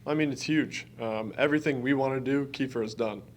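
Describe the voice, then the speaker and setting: A man's voice: mid-range voice, brisk, a little hiss or hum. A young man from Wisconsin, a college basketball player, answering press conference questions in an earnest, informal way. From Wisconsin, United States. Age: early twenties.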